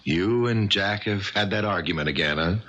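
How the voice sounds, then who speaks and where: Friendly businessman pal, forceful lowish voice, dull 1970s radio sound. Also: normal speed. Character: friendly, chatty businessman neighbour. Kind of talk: 1970s radio drama acting. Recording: dull vintage radio broadcast.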